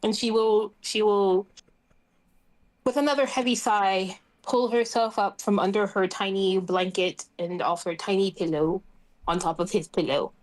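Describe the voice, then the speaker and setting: A woman's voice: medium pitch, fairly even intonation, measured pace, poor audio. A woman casually improvising narration as a player in a tabletop roleplaying game.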